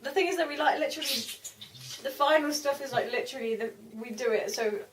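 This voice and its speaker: A young woman from a fan audio drama cast, loud and bubbly, often laughing. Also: high-pitched, quick pace, echoey room. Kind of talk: casual group chat. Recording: distant mic with faint noise.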